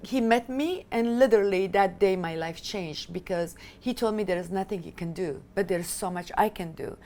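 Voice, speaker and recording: A woman's voice: medium pitch, normal speed, a little mellow. A woman in her fifties from Afghanistan, whose first language is Italian, speaking passionately and emphatically as an interview guest. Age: 50s. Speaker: guest, film director and activist. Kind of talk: interview conversation.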